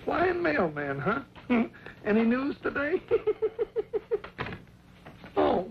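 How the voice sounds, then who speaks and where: An actor playing a folksy, excitable comic cowboy sidekick with a gravelly voice in an old-time western. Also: male voice, very high voice, drawn-out words, exaggerated voice. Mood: playful. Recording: dull, noisy old radio recording.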